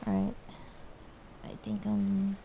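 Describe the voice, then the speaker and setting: A woman streaming a game, chatting casually in a low-key, hesitant way. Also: completely flat delivery, slow pace, vocal fry, mellow, muffled recording, slight background noise. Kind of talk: casual livestream chat.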